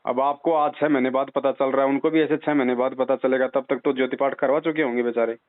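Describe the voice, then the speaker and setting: A man's voice: medium pitch, steady tone, fast talker. A man speaks in an insistent, admonishing way, counselling someone on religion and repeating his points for emphasis. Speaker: religious adviser answering a follower's phone call. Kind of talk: persuasive phone conversation, religious counselling. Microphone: phone call. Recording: tinny, narrow phone-line call recording.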